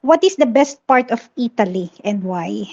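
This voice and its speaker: A woman's voice: a little high, lively intonation, moderate pace, creaky, darkish tone. A woman co-hosting a podcast, upbeat as she reads out a listener question. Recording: dull, muffled lo-fi audio.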